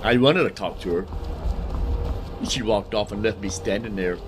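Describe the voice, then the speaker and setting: A man's voice: on the low side, moderate pace, over music. A man giving casual commentary on his own video game playthrough, thinking aloud in a rambling way and repeating himself. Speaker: gamer narrating own playthrough.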